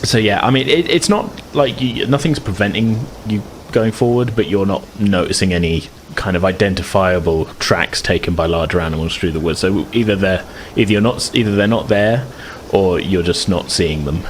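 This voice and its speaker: Man, low voice, game master, casual narration, hesitant, thinking aloud, noisy recording. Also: normal speed, hardly any pauses. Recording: clear background noise, room ambience.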